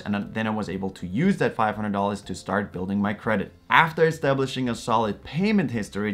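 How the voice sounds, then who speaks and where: A man's voice: on the low side, fairly bright tone. A man in his thirties from Austria, talking to camera from a dim living room, explaining credit in an earnest, hands-on way. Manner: earnest, explanatory, gestures a lot while talking. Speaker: finance YouTuber. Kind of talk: direct-to-camera advice talk.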